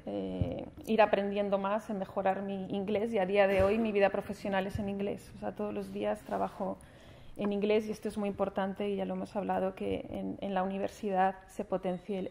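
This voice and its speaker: A woman's voice: medium pitch, mellow, a little hiss or hum. A woman in her forties from Spain giving an earnest speech at a university ceremony, seated at a panel table in a large formal hall and speaking into a table microphone, with frequent restarts and fillers. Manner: gestures with her hands as she speaks. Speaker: honored alumna speaker.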